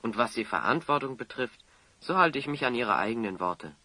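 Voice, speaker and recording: Righteous audio-drama hero, formal, sardonic, moralizing, with a sharp, forceful mid-range voice. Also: male voice, medium pitch, lively intonation, a little fast, no room sound. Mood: solemn, forgiving. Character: upright, reproachful male hero, stern but merciful. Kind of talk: German audio drama acting.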